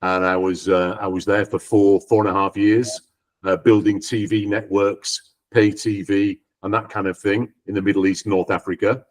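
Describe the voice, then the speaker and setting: A man's voice: lowish voice, flat delivery, moderate pace. A Scottish-born man in his sixties, a guest on a video call, chatting in an easygoing, self-deprecating way with lots of ums. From Scotland. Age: 60s. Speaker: guest, company co-founder. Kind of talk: casual interview chat.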